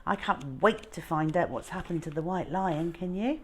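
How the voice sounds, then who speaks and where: A woman hosting a children's story time, narrating expressively with deliberate, dramatic phrasing. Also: on the low side, slight background noise.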